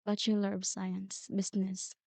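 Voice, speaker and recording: Very soft-spoken shy young woman. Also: medium pitch, monotone, moderate pace, vocal fry, no room sound. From Philippines. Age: 20s. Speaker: guest. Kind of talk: shy interview answers. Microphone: directional studio mic.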